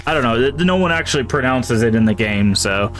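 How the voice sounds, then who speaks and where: Male streamer, mid-pitched, talking through a horror game and reading item names aloud, soft music under it. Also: animated, brisk. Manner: thinks aloud, reads in-game items and notes. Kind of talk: casual gameplay commentary.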